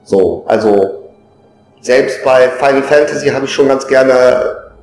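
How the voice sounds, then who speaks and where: A man's voice: medium pitch, takes it slowly, darkish tone, reverberant, rough recording. A man from East Germany answering a survey question with wry jokes. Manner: wry, joking. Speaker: interviewee.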